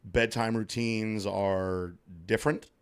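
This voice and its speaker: A man in his thirties co-hosts a relaxed podcast, talking into a studio mic. His voice sits low and carries heavy fry, roughened further by allergies into a scratchy hoarseness. He keeps things upbeat and jokey, rambling along with plenty of ums. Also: takes it slowly. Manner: upbeat, joking, rambling with fillers. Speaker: podcast co-host. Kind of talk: casual podcast chat. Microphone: studio microphone.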